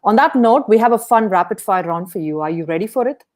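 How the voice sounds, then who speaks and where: A woman's voice: mid-range voice, expressive, fast talker, a little mellow. A woman hosting a podcast, asking questions conversationally in an affirming, encouraging way. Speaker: podcast host, interviewer.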